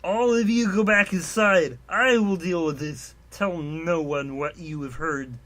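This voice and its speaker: A man's voice: fairly high pitch, unhurried, a bit bright, voice pushed up. A man playing a stern guardian of a magical school, growling grave commands in a dramatic story reading. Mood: grim, commanding. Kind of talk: dramatic fan fiction reading.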